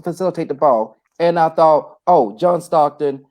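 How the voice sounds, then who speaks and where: A man's voice: on the high side, normal speed, a little mellow. Playful man talking basketball picks. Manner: playful, thinks aloud, weighs his picks. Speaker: podcast guest. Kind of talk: casual sports chat.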